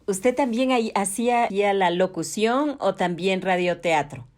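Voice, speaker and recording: A woman with an Ecuadorian accent interviewing a guest in a measured, formal, courteous way. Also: mid-range voice, darkish tone. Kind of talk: interview questions.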